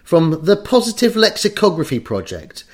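A male podcast host reading from a script with a clear, measured delivery. His voice sits a little high for a man and moves through a lively, wide pitch range.

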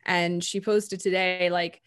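A woman's voice: fairly low pitch, flat delivery, slow, clean tone. A woman health coach talking in a casual conversation, chatty and full of "like" and "you know".

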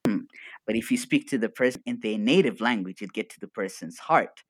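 A man whose first language is Arabic, an energetic guest chatting on a live podcast and laughing often. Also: fairly low pitch, a little fast, dry recording. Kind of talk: casual live-stream chat.

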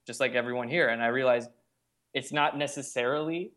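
A man's voice: mid-range voice, a little fast, a bit bright. A young adult man, a podcast guest, telling a personal story in an earnest, rambling way full of "like". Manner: earnest storytelling, frequent "like" fillers. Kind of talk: casual conversation.

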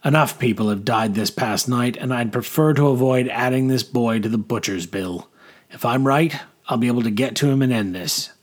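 A man voicing a tall, rugged army major in an audiobook, calm and resolute, with formal, authoritative military speech. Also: medium pitch, moderate pace, natural voice. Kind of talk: audiobook character dialogue.

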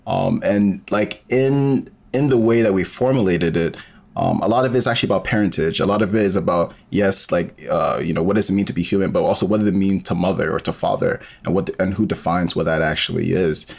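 A man's voice: deep voice, fairly quick, gravelly, darkish tone. A man as a podcast guest, talking casually and rambling with many fillers. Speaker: podcast guest, audio drama creator. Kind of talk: casual interview conversation.

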